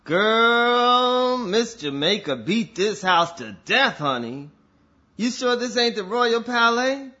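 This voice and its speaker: A man's voice: extremely high pitch, big pitch swings, takes it slowly, sharp tone, dull audio, heavily acted. A man playing a sassy, mothering, camp friend in a stage comedy, drawling endearments. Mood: sassy, teasing.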